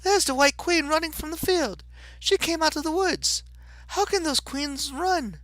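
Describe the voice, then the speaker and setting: Curious young storybook girl, voiced by a male narrator, polite and earnest, pitched very high. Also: lively intonation, moderate pace, a bit bright, no echo. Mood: excited.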